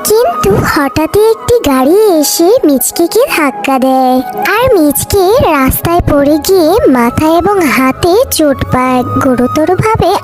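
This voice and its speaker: A woman's voice: sits high, expressive, unhurried, over music. A woman narrator reading a scripted children's story.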